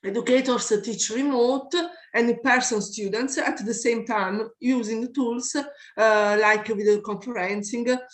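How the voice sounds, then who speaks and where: A woman from Italy, a math teacher, presenting in careful, halting English with an Italian accent. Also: on the high side, steady tone, echoey room. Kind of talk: conference presentation. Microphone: video call.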